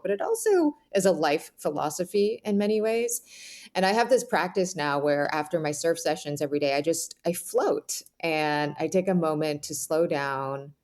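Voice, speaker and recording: A woman's voice: low voice, darkish tone. A woman speaking as a podcast guest, reflective and conversational, with casual phrasing.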